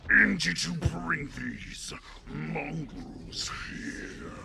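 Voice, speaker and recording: A man voicing an insidious, gloating fantasy game villain in a gravelly, whispery, drawn-out growl. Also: fairly high pitch, big pitch swings, crowd noise, pitched above the performer's own voice, full character voice. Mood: menacing, gloating. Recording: loud music under the voice.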